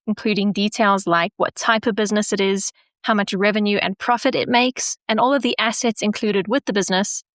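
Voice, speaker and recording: A woman hosting a business podcast, reading a scripted intro and asking interview questions in a clear, measured way. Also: mid-range voice, fairly bright tone, dry recording, studio quality. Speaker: podcast host.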